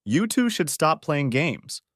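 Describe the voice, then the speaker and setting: A man's voice: a little high, very animated, takes it slowly, a bit bright, no echo, clean studio sound, voice pushed up, exaggerated voice. A man voicing a teasing, frank female co-worker in a narrated story.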